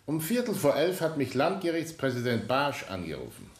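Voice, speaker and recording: An actor playing a composed, relentless police inspector in a radio drama, speaking formally and insistently. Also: male voice, medium pitch, huge pitch swings, normal speed. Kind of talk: German radio drama acting.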